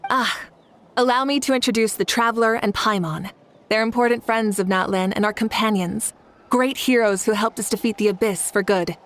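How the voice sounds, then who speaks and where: A woman playing a courteous, composed young leader in a video game, speaking politely in a measured, formal way. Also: on the high side, a bit bright, lightly acted.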